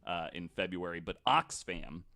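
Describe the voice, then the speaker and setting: A man presenting a researched story on a casual podcast, speaking in a halting way as he explains from his notes. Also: deep voice, lively intonation, gravelly, sharp tone.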